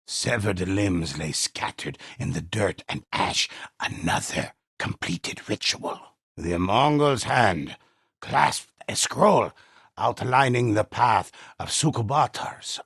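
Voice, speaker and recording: An old video game storyteller with a very low, gravelly voice. He narrates grimly and slowly, savoring each phrase with theatrical menace. The sound is close and dry. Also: fairly bright tone, no echo, heavily acted. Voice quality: husky, gravelly. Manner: ominous, measured, theatrical. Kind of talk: scripted dramatic storytelling narration.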